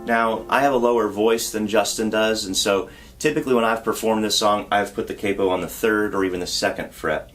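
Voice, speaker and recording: A man teaching a song on guitar, explaining step by step in a patient, relaxed way and counting beats aloud. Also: lowish voice, fairly quick. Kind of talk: guitar tutorial. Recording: loud guitar under the voice. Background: acoustic guitar playing.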